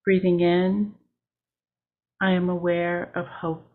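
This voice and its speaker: A woman worship leader guiding a meditation in a calm, measured way, with short deliberate phrases. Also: fairly low pitch, narrow, tinny audio. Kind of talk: guided meditation.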